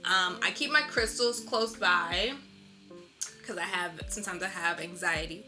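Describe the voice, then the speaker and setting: A woman's voice: lowish voice, a little slow. A woman speaking informally and reflectively in a casual interview as a visual artist, often saying "like". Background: instrumental lo-fi music.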